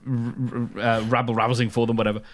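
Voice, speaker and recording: A British man talks as a podcast co-host in a conversational, explanatory style. His delivery is halting, and he often backtracks to correct or qualify himself. His pitch sits in the middle of the usual male range. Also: flat delivery. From United Kingdom. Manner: halting, hedging, self-correcting.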